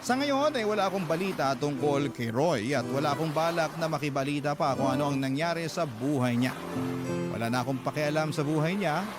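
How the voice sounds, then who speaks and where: A grateful young wife and mother tells her story in a radio drama, as read by a man. The voice sits fairly high and stays earnest and polite throughout. Loud music plays behind the whole reading. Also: expressive, brisk, lightly acted. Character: grateful young married mother, woman, letter writer. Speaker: letter reader. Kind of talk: radio drama letter narration. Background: loud background music.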